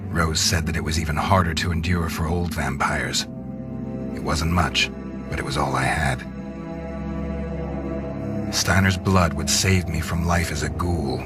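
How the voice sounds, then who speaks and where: Very deep, brooding vampire hero. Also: male voice. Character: brooding, grimly determined male vampire hero. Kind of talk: video game cutscene dialogue and noir narration. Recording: loud eerie music behind the voice.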